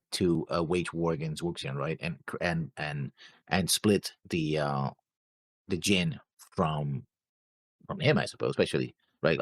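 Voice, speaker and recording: A man with a fairly low voice in a very dry recording. He chats casually as a reaction show co-host, working out story theories in halting phrases with repeated words and imagined lines of dialogue. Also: dry recording. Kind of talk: casual discussion of plot theories.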